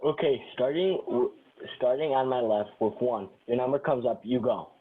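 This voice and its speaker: A man plays a smooth-talking sitcom guy who gets defensive and sarcastic. His pitch is average. The audio is thin and narrow, like a phone call. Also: normal speed, lightly acted. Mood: dismissive. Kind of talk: sitcom script table read.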